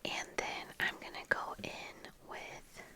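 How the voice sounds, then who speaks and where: A woman in her thirties whispering softly and breathily in a casual ASMR chat, unhurried and pausing, close to the microphone in a small room. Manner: soft, unhurried, pausing while doing makeup. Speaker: ASMR video creator. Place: small dim indoor room with bookshelves. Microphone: close fur-covered microphone on desk, monitored on earphones.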